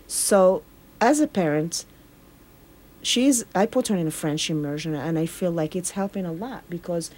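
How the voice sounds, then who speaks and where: Earnest woman, slightly low voice. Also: moderate pace, darkish tone. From Morocco. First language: Arabic. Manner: earnest, explaining with repeated phrases. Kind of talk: casual radio chat.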